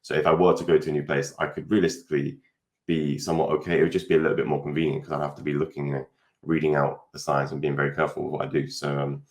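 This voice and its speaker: Young guy from the uk, super low voice, rambly with lots of ums, roomy echo. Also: level tone, rapid-fire. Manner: rambling, hesitant, lots of fillers. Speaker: guest. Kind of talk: casual interview chat. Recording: echoey room.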